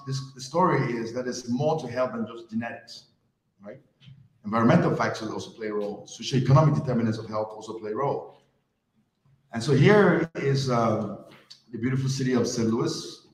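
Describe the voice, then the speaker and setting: A man's voice: mid-range voice, a little fast. A man from France giving a conversational talk to a live audience, often asking the crowd questions. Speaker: conference speaker. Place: event hall. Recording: roomy hall with faint ambience.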